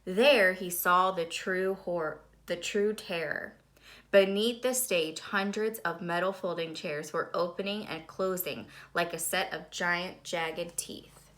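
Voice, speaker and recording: Female storybook reader, bright forceful voice, dramatic and suspenseful narration for young listeners. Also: lowish voice, steady tone, slow pace. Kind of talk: expressive children's read-aloud narration.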